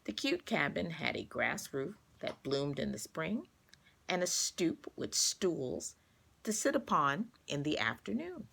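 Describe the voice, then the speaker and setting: Lively storybook woman with a creaky edge. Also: sits low, measured pace. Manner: expressive, clearly paced storytelling for young listeners. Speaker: children's story reader. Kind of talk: read-aloud children's storybook narration.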